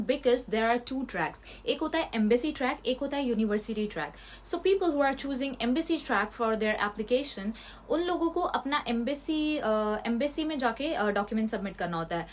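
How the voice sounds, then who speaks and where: A young woman from India hosting a casual vlog, answering viewer questions in a mix of Hindi and English. Her voice sits fairly high and she speaks slowly, in a clear, explanatory way. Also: fairly bright tone. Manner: mixes Hindi and English, explanatory, slightly defensive. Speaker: student vlogger.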